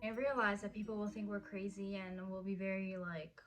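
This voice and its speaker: A woman's voice: medium pitch, fairly even intonation, a little fast, over music, poor audio. A woman in her early twenties chatting casually and teasing her husband in a Q&A vlog, with lots of "like" fillers. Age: 20s. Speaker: vlogger. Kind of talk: casual couple Q&A chat.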